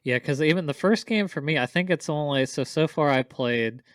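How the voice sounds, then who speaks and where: A man's voice: a little high, moderate pace, clear, resonant tone, dry recording, clean studio sound. A man chatting casually as a podcast co-host, enthusiastic and rambling about his favorites.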